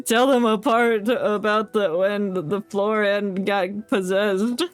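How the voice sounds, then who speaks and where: A woman's voice: mid-range voice, steady tone, slow pace. A woman streamer giving casual live game commentary in wry, offhand asides on a close mic.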